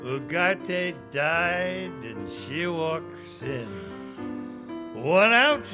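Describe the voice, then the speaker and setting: An actor playing a bitter, heartbroken American cafe owner in 1940s radio drama style, sardonic, slangy and clipped. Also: male voice, fairly high pitch, sing-song, drawn-out words, full character voice. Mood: brooding. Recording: live stage radio play with music.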